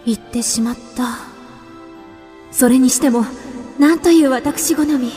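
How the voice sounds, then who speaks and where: A haughty young noblewoman in a radio drama. Her voice is high and refined, her speech formal and commanding, though she is easily smitten. Music plays behind her. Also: a little slow, mellow. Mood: smitten, flustered.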